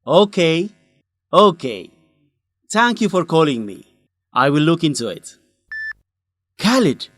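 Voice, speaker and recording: Radio drama student journalist: young, determined, emphatic, lively intonation, dry recording. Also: male voice, a little high, takes it slowly, pauses often, no room sound, full character voice. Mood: urgent, serious. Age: young adult. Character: indignant, determined young male student reporter. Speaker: actor in an educational radio drama.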